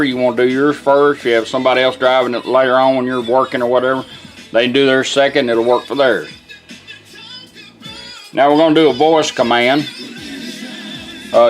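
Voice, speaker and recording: Folksy man demonstrating gear, music behind. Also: mid-range voice. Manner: plainspoken, folksy, step-by-step instructing. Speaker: presenter demonstrating a vintage truck radio. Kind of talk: casual hands-on product demonstration. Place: inside a pickup truck cab.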